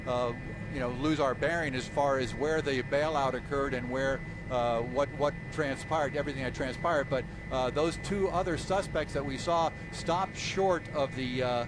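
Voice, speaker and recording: A male airborne news reporter talks over clear rumbling vehicle noise. His pitch is average and his live commentary is unscripted, measured and halting, with a procedural bent. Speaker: helicopter traffic and breaking news reporter. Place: aboard a news helicopter. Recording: noisy live aircraft link, engine rumble.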